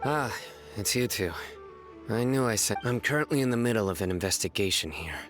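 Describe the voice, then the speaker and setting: A deep-voiced, stern young investigator acted by a man, coolly authoritative and formal. He delivers his lines with measured seriousness in anime game style, over faint music. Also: close to an everyday voice. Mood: calm, reserved. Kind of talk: anime video game voice acting.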